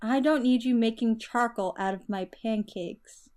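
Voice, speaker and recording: A woman's voice: on the high side, measured pace, darkish tone, natural voice. A woman acting a friendly, headstrong young woman in an amateur audio story. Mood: friendly, teasing.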